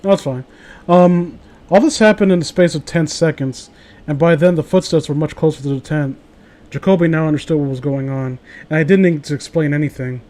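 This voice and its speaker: A man's voice: a little high, a little fast, darkish tone. A man hosting a casual show, reading amateur horror stories aloud and breaking off into rambling, opinionated, profane commentary.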